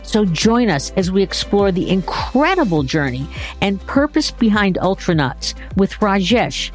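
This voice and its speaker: A woman hosting a podcast, reading a warm upbeat introduction and then interviewing her guest with enthusiasm. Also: medium pitch, big pitch swings, a little slow, soft background music. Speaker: podcast host.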